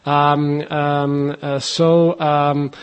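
A man speaking English with a Czech accent, a linguist heading a language institute, answering interview questions in a halting way with many pauses and restarts. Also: fairly high pitch, little pitch movement, clean tone, muffled recording.

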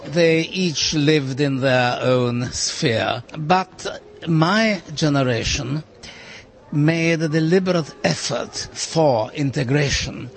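A man in his eighties whose first language is German, answering an interview in a café with thoughtful, hesitant pauses. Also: on the high side, vocal fry. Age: 80s. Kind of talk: recorded interview.

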